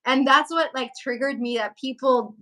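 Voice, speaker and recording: Travel vlogger, woman from Syria. Bright, higher-pitched voice, informal conversational delivery for a casual live chat. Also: moderate pace. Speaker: travel vlogger and livestream host.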